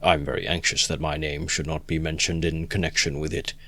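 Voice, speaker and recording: A man voicing a pompous, calculating middle-aged gentleman who speaks in a measured, condescending way during an audiobook reading. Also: a bass voice, flat delivery, exaggerated voice.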